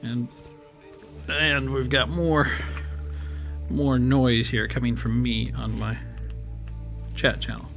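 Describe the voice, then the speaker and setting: A man's voice: medium pitch, fairly even intonation, slow, long pauses, fairly bright tone, soft background music. Man with a weak, strained voice. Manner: chatty and rambling, with many fillers and restarts. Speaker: streamer and game host. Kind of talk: casual live-stream commentary while playing. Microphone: desk microphone on a boom arm.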